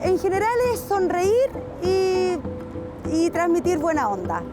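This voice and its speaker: A woman's voice: extremely high pitch, very animated, unhurried, over loud music. A Chilean woman in her fifties chatting in a street interview outdoors. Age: 50s.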